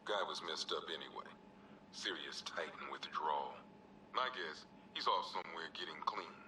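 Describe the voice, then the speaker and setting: An actor playing a gruff, world-weary American police officer, logging evidence for a video game in a terse, streetwise way with dry, wry asides. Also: male voice, very deep voice, moderate pace, bright, forceful tone, distant mic, slight background noise, exaggerated voice, rough recording. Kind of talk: scripted video game evidence log narration.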